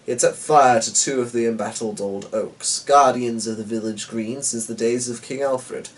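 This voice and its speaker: A man giving a measured, theatrical dramatic reading of a short story as a storyteller. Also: mid-range voice, fairly even intonation, distant mic, poor audio.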